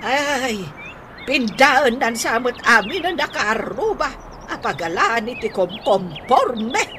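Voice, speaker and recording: Slow, swooping Ilocano village neighbour played by a woman. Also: a little high, sing-song. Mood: agreeing. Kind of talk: comedy radio drama acting. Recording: noisy track with chirping birds.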